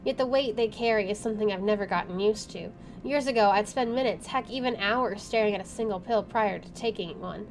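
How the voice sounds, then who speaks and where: A woman's voice: medium pitch, fairly quick, slight background noise, low-quality recording. A woman playing a visual novel, reading the story aloud and dropping in casual asides. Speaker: game player, channel host.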